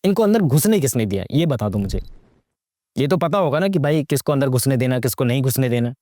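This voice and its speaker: A man's voice: mid-range voice, animated, fairly quick, warm tone, no echo, studio quality. A young man giving casual, sarcastic movie commentary, slangy and mocking, full of rhetorical questions and mixing English into his Hindi. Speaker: YouTube movie reviewer.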